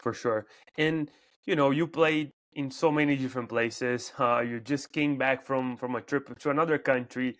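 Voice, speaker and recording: A man's voice: medium pitch, steady tone, moderate pace, fairly bright tone, no echo. A man in his twenties from Brazil, speaking English with a Brazilian accent as a second language, hosting a casual podcast interview and often repeating words as he searches for phrasing. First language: Portuguese. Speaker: podcast host.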